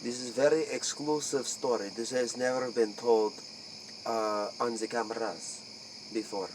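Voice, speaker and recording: A man playing a secretive vampire clan member in a comic interview, speaking halting, repetitive broken English. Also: mid-range voice, steady tone. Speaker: interview guest in character. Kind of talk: improvised comic role-play interview. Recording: noisy, crickets and insects behind.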